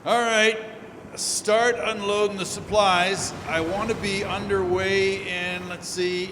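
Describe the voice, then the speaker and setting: High-pitched seasoned ship's captain played by a man. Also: fairly even intonation, slow. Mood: practical, cautionary. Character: seasoned lake steamer captain, gruff and practical. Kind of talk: old-time radio drama acting. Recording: echoey hall with background noise.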